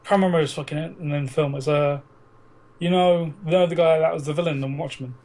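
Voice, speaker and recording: A man's voice: on the high side, fairly even intonation, fast talker, darkish tone. A man co-hosting a casual podcast, with sweary, irreverent banter. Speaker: podcast co-host.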